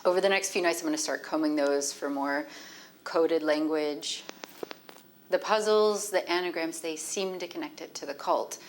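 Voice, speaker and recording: A woman's voice: low voice, moderate pace, natural voice. An actress playing a worried, cautious female investigator, serious and matter-of-fact, in game dialogue. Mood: concerned. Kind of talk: naturalistic recorded meeting dialogue. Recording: noisy meeting tape.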